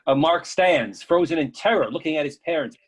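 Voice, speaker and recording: A man's voice: on the high side, animated, brisk, breathy edge, low-quality recording. A man taking part in a play reading, reading directions and chatting in plain words. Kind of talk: stage-direction reading and casual feedback.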